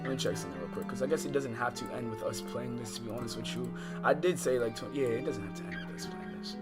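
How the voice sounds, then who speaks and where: An American man hosting a game livestream, switching between slangy, profane, exasperated chat and reading visual novel text aloud. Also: medium pitch. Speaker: livestream host. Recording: music behind the voice.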